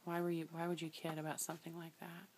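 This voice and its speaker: A woman's voice: sits low, monotone, fast, background noise. A mother filming her children at home as she plays a customer, ordering food with playful, mock-serious teasing. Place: living room at home. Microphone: phone camera held by speaker off screen.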